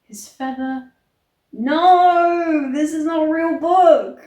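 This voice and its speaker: A woman's voice: high voice, slow pace, warm tone. A woman reading aloud and commenting as a casual vlog host, with a wry, sarcastic manner. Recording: echoey room.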